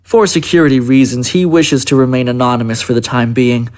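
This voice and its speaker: A man acts out a senior political aide in an audio drama. He is courteous and secretive, speaking in formal, measured phrases at a medium pitch. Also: steady tone, close to an everyday voice. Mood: courteous, guarded. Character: polite, secretive presidential chief of staff.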